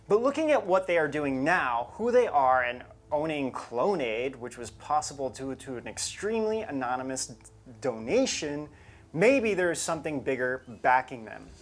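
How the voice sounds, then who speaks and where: A man's voice: a little high, very animated, normal speed. A man co-hosting a show, narrating scripted material in an emphatic, conversational storytelling way. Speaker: show co-host.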